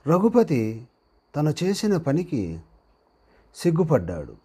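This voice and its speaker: A man narrates a moral tale at a very slow, deliberate pace, pausing between short phrases. His pitch moves in lively swings, and the voice has a dark, slightly husky tone. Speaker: narrator of a handwriting and moral story channel. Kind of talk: slow read-aloud moral storytelling, dictation-like.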